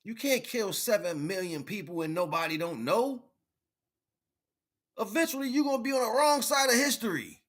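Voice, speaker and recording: A man with a bright, forceful voice talks straight to his listeners in a rambling, conversational monologue. He preaches in informal slang, repeating phrases for emphasis and often checking that people follow. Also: sits high, animated, moderate pace. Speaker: spirituality vlogger, livestream host.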